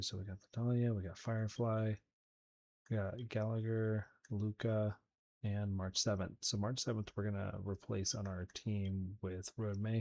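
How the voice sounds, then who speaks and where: A man's voice: on the low side, vocal fry, mellow, lo-fi sound, slight background noise. A man giving casual, easygoing game commentary, thinking aloud as he plays. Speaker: host of a game playthrough channel. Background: game music behind the voice.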